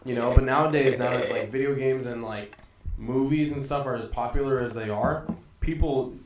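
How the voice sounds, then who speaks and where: Young male co-host, mid-pitched, jokey, echoey room, slight noise. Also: normal speed. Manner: animated, joking, trails off quietly. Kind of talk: casual chat.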